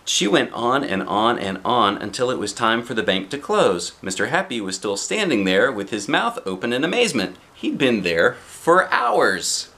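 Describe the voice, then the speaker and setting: A man reading a picture book aloud as a dad would, playful and expressive, with casual asides to a child. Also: medium pitch, moderate pace, few pauses.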